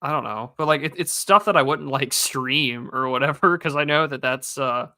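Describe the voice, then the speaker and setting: A man's voice: mid-range voice, normal speed, bright, forceful tone, dry recording, clean studio sound. A young man hosting a podcast in casual chat, hesitant and restarting often. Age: young adult. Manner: hesitant, many fillers and restarts. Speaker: podcast host and streamer.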